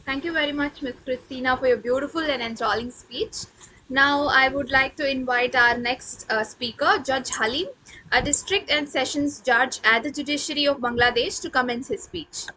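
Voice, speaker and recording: A woman hosts an online conference, politely hurrying speakers along and introducing the next one. Her voice is high and bright. It comes through faint and distant, with light noise behind it. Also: steady tone. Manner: polite, brisk, says ma'am. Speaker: conference host. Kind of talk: moderating, introductions.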